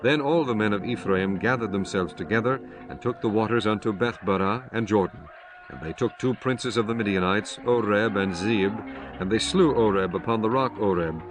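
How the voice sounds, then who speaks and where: Male scripture narrator, lowish pitch, solemn measured reading, clear music bed behind. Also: low-quality recording.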